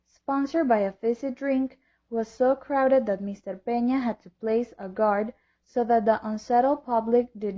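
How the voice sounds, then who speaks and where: A woman reading a scripted historical narration in a formal manner. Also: on the high side. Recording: dull lo-fi audio.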